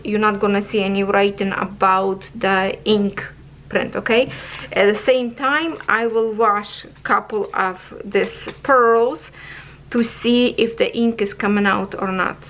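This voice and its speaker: A woman's voice: medium pitch, steady tone, a little slow, fairly bright tone, a little hiss or hum. A woman giving a casual product review on her craft channel, chatty and checking in with viewers, in non-native English phrasing.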